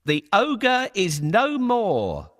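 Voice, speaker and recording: A man voicing a clever, flattering male cat in a children's storybook, polite and sly, with a coaxing, exaggerated delivery. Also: high-pitched, drawn-out words, dry recording, studio quality, voice pushed up, heavily acted. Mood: proud announcing.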